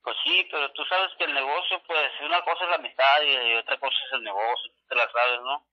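A man's voice: mid-range voice, fairly even intonation, fairly quick. A man with a northern Mexican accent in a slangy, casual phone conversation, hedging and evasive as he talks. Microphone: telephone call. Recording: dull lo-fi telephone line.

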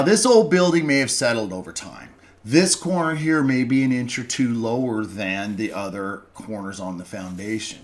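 A middle-aged man giving a folksy, plainspoken carpentry lesson, with restarts and self-corrections, recorded at a desk mic indoors. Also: medium pitch. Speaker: lumber company presenter. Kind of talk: instructional voice-over.

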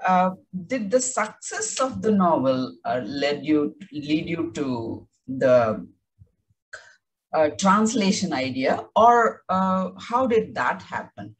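A woman's voice: low-pitched, big pitch swings, takes it slowly, rough recording. A woman interviewer asking a question in a hesitant way, searching for words, speaking through earphones on a video call.

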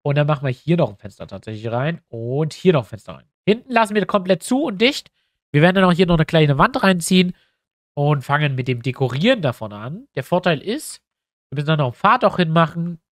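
Stuffy-nosed guy chatting to viewers while he builds a map, kinda high voice, dry close mic. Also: sing-song, fairly quick, no echo. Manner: chatty, thinks aloud, addresses viewers directly. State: stuffy nose from a cold. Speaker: YouTuber building a tabletop map. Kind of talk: casual screen-recorded tutorial.

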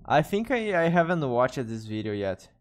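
A man's voice: mid-range voice, sing-song, moderate pace. A young man from Brazil with a Brazilian Portuguese accent, a native Portuguese speaker, chatting casually with viewers on a live stream from his room, speaking English hesitantly and often correcting himself. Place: bedroom streaming setup indoors. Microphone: studio mic on desk with headphones.

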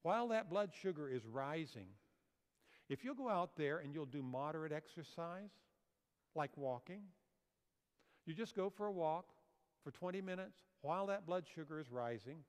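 An older man giving a health seminar lecture on a church auditorium stage, explaining slides and asking the audience questions. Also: a little high. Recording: long hall reverb.

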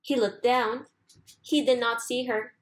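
A woman reading a children's storybook aloud expressively, with deliberate, sing-song emphasis. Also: fairly high pitch, fairly even intonation. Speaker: storybook reader.

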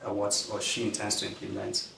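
A man's voice: on the low side, monotone, creaky, pushed and bright. Earnest man teaching in an echoey room. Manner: earnest, drawn-out emphasis, gracious thanks. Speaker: class instructor. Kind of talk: online class leadership teaching. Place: plain indoor studio backdrop. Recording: echoey, somewhat distant mic, faint noise.

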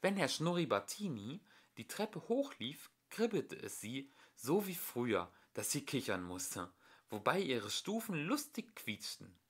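Animated man reading a children's story. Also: medium pitch, measured pace, slightly rough. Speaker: amateur audiobook reader. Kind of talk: expressive children's storybook narration.

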